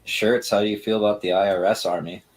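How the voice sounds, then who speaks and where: A man with a husky voice chatting casually as a guest on a show. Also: fairly low pitch, fairly even intonation, quick pace. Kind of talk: casual chat.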